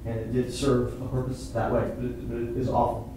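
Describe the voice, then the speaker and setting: Echoey, distant man explaining haltingly. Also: medium pitch, level tone, dark tone. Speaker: playwright and performer at a post-show talkback. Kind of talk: informal discussion with an audience. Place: indoor theatre stage, seated on the floor. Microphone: far camera microphone. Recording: distant echoey hall recording with noise.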